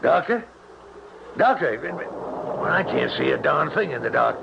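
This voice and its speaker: An actor playing a worried, folksy elderly small-town father in an old-time radio drama, with a creaky, rambling, homespun way of talking. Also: a little high, big pitch swings, a little slow, exaggerated voice. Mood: alarmed. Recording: noisy, muffled 1970s radio broadcast.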